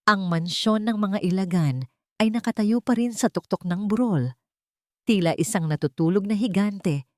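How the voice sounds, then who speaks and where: A woman with a Filipino accent narrating a dramatic story in a solemn, deliberate manner. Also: lowish voice, darkish tone, no echo, studio quality. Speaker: audiobook narrator.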